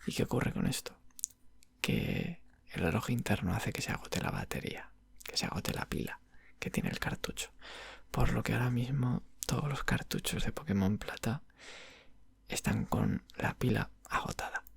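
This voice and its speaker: Man playing a patient male game-shop clerk for ASMR roleplay, Spain accent, very breathy half-whisper with heavy creak. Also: fairly low pitch, wildly swooping pitch, no echo, full character voice. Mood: calm, explanatory. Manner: unhurried, murmuring, hesitant phrasing. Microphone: close microphone.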